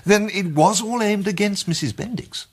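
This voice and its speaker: An actor playing a wry, urbane amateur detective in a radio drama, with clipped delivery. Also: male voice, sits high, normal speed, slightly rough.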